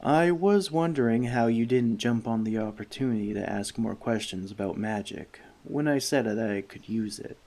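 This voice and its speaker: A man playing a friendly, thoughtful forest elf in a soft-spoken ASMR roleplay, speaking to the listener in measured, slightly formal words. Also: mid-range voice, lightly acted. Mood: thoughtful, earnest. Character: friendly male forest elf, gentle, thoughtful, long-lived.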